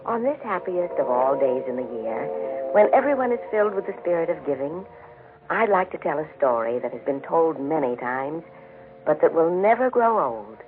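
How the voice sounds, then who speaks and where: Animated high-voiced man, old-time radio storyteller. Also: moderate pace. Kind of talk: 1940s radio scripted narration, solemn storytelling. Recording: tinny 1940s radio broadcast with music behind. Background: music.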